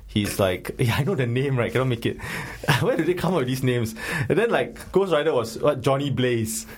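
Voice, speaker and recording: A man's voice: mid-range voice, animated, moderate pace, slightly rough. A man with a Singaporean English accent, hosting a casual film-review chat in a jokey, rambling, colloquial way. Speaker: podcast host.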